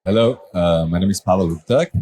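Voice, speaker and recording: Low mellow male voice, soft and a bit stumbling. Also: a little fast, slight background noise. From Slovakia. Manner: soft-spoken, repeats words. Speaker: panelist, company founder. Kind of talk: unscripted panel talk. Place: conference stage.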